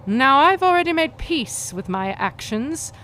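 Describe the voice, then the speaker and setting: A woman's voice: high voice, big pitch swings, sharp tone, a little hiss or hum, heavily acted. Defiant, ruthless masked vigilante woman. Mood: defiant, threatening. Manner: formal, deliberate, menacing phrasing. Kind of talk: 1940s pulp radio drama acting.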